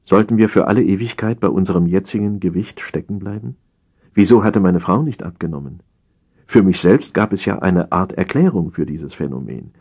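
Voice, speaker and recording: Deep, husky male satire narrator. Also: expressive, a little fast, darkish tone. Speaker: audiobook narrator.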